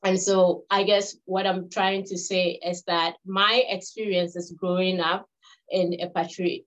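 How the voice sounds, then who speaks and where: Woman from Ghana, fifties, second-language English, measured webinar presenter; flat delivery, muffled call audio. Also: on the low side. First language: not English. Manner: measured, with frequent pauses and restarts. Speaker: health researcher presenting. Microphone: video call.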